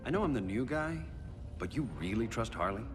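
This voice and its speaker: An actor playing a dry, sarcastic, sceptical newcomer hero in a video game cutscene. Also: male voice, medium pitch, sing-song, brisk, lightly acted. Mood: dry, joking. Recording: long reverb, loud music behind.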